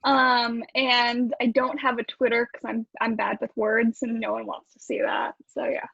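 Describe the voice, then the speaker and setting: High voiced woman, lots of fry, casual podcast, dry dull mic. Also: little pitch movement, normal speed, fairly bright tone, no echo. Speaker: podcast cast member. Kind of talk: casual improv comedy chat.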